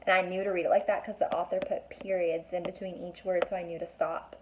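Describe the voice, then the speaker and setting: A woman's voice: fairly low pitch, little pitch movement, fairly quick, background noise. A woman reading a children's book aloud as a teacher would, stopping to explain and put questions to young listeners.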